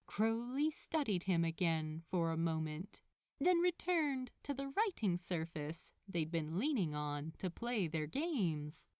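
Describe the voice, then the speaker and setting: A woman reading a story aloud as narrator, in expressive scripted narration. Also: fairly high pitch, no room sound, studio quality. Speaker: fan fiction narrator.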